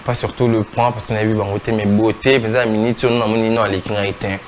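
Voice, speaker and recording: A man's voice: on the low side, flat delivery, fast talker, a little hiss or hum. A man born in Kinshasa and holding French nationality, with a Congolese French accent, answering casual interview questions hesitantly and mixing in Lingala. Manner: hesitant, many fillers and restarts, mixing in Lingala. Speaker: guest, TikTok creator. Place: indoor studio set.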